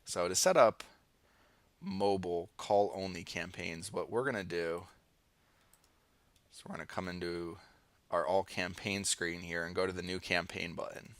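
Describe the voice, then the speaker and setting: A man's voice: low-pitched, fairly even intonation, measured pace, pauses often, fairly bright tone, no echo. A man with an American accent presenting an informal screen-share tutorial, thinking aloud as he demonstrates each step. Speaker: online marketing tutorial presenter. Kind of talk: casual screen-share walkthrough, partly improvised.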